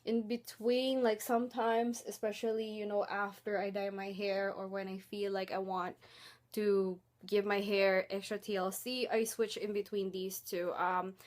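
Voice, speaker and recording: A woman's voice: mid-range voice, level tone, a little slow. A young woman casually talking through her hair care routine, chatty and rambling with lots of filler words. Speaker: beauty vlogger.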